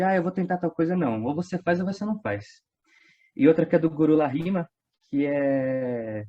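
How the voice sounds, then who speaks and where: Higher-voiced Brazilian man, slightly dull recording. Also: dark tone, slightly rough. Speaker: guest, radio drama company member. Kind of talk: casual interview chat. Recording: dull lo-fi sound.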